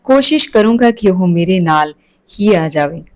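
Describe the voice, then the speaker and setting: A woman voicing a calm, decisive elderly village father in a narrated family story. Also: lowish voice, moderate pace, natural voice. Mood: calm, resolved.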